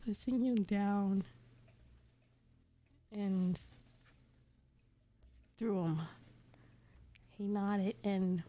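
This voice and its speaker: Woman, slow pace, soft mellow tone, casual live story reading, giggly, breathy near-whispers. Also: medium pitch. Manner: giggly, self-censoring with playful substitutions. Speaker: streamer.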